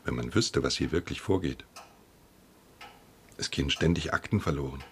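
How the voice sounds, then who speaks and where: Office official, very deep voice, formal, firm, radio play, faint noise. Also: male voice, moderate pace. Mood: grave, concerned. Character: firm, indignant male compensation office official. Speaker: actor. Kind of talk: German radio play acting.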